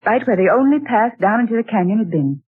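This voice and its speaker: An actress playing a genteel woman recounting a ghostly night in 1940s radio drama style, theatrical and breathy. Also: a little high, lively intonation, quick pace. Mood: storytelling. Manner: dramatic, exclaiming. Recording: tinny old radio broadcast.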